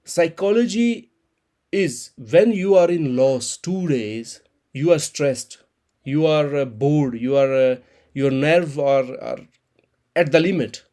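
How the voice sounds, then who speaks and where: A man in his thirties, an Urdu speaker, explaining a trading chart in halting with many restarts. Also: fairly high pitch, warm tone. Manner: halting non-native English, frequent restarts and repeated words. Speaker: crypto trading channel host.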